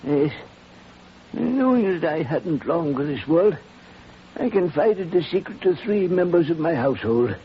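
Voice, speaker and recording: An ailing old man confessing on his deathbed in an old radio play. He speaks very slowly and gravely, with a creaky edge, heavy with remorse. The recording is muffled and hissy. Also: fairly high pitch, animated. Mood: haunted, insistent. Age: older. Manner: slow, confessional, weighty delivery. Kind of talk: 1940s radio drama acting.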